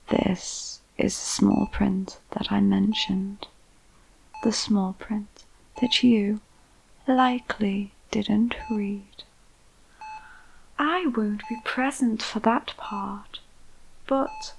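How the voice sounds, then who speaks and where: A woman playing a clinical female vampire scientist in an ASMR roleplay, with a whispery, breathy voice and deliberate delivery. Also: on the high side, slight background noise, heavily acted, low-quality recording. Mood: clinical, calm, then hushed, enticing. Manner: slow, soothing, deliberate. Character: calm, clinical female vampire scientist overseeing a sinister experiment.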